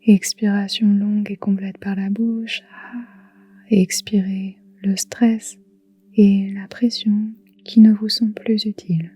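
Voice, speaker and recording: A woman guiding a relaxation meditation in a soft, whispery, calm and soothing voice, leaving long pauses. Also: mid-range voice, dry recording. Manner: soft, breathy, whispery delivery. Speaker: yoga nidra guide.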